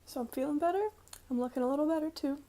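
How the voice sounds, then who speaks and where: A woman's voice: sits high, very fast, warm tone. A woman in her early twenties vlogging in a casual, chatty way, rambling informally with conversational asides. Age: early 20s.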